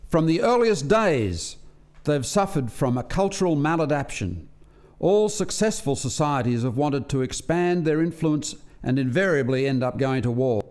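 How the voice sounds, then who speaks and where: An actor playing an earnest alien lab scientist in a radio play, explaining things in a measured, articulate way. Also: male voice, a little high, expressive, darkish tone, close to an everyday voice. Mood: grave, explanatory. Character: earnest alien male lab scientist defending a failed experiment.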